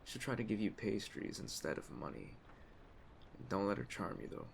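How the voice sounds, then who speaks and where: Man playing a deep, gravelly mafia don. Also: big pitch swings, heavily acted. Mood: businesslike, calm. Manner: measured, authoritative, streetwise. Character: calm, commanding aging mafia boss giving orders. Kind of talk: ASMR roleplay. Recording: clear ambient storm noise behind. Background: storm ambience.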